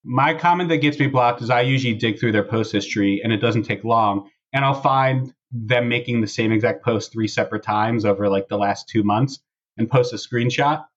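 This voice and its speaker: A man's voice: mid-range voice, normal speed, dull audio. A man hosting a casual podcast discussion, talking through and reading out posts. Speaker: podcast host.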